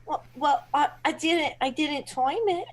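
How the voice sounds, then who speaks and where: A woman's voice: high voice, a little slow. A woman playing an anxious, earnest daughter loyally defending her man in an old-time radio crime play.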